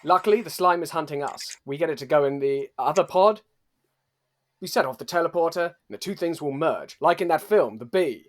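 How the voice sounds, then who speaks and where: A man's voice: fairly high pitch, expressive, fairly quick. A man improvising casual narration and banter as a player in a roleplay podcast.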